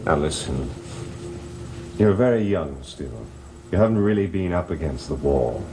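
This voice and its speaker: Deep-ish, brooding manor lord. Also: male voice, animated, unhurried, warm tone. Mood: stern, troubled. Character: brooding, courteous master of a gothic manor. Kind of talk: gothic television soap opera acting. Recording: old TV soundtrack with organ music.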